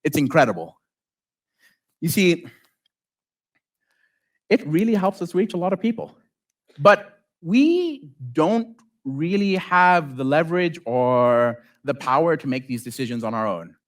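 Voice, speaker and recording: A male conference speaker, a native Arabic speaker, presents to an audience in an informal way. His voice sits a little high and swings widely in pitch. He checks in with listeners and drops in joking asides. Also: big pitch swings, unhurried, long pauses. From lives in South Africa. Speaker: conference speaker, software developer.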